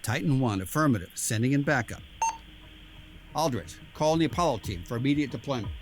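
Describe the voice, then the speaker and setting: A man's voice: mid-range voice, lively intonation, a little fast, over music, natural voice, rough recording. A man playing a stern military admiral, speaking with clipped authority in a dramatic scripted scene. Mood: commanding. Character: stern, commanding male admiral running covert operations.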